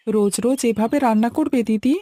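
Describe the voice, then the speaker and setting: An actress playing a naive, childlike little brother full of questions in an audio drama. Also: female voice, on the high side, fast, warm tone, dry recording, faint music behind, close to an everyday voice. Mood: curious. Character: curious, naive little boy, a younger brother. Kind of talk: acted audio story dialogue.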